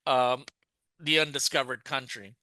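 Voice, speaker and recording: A man co-hosting a podcast in casual discussion, often halting and correcting himself as he goes. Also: medium pitch, steady tone, pushed and bright, no echo. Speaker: podcast co-host.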